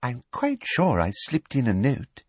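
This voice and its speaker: Male narrator playing a honeyed, simpering woman official, higher voice, husky edge. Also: huge pitch swings, a little slow, no room sound, exaggerated voice. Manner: sugary, simpering, mock-polite. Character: simpering, falsely sweet female official.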